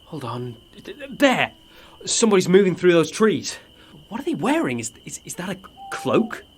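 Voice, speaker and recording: A man's voice: sits high, wildly swooping pitch, normal speed. An actor playing an earnest, stubborn young man with a hesitant manner, in an audio drama. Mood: startled. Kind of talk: naturalistic audio drama acting.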